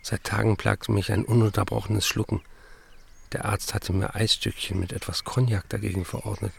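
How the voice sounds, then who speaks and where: A hard-drinking, excitable writer in a literary radio play. His voice is low and gravelly, with a husky grain. He recounts his life and reads out his letters in a dry, wry way. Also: normal speed, exaggerated voice. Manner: dry, wry first-person storytelling and letter reading. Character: Hans Fallada, hard-drinking, excitable German novelist.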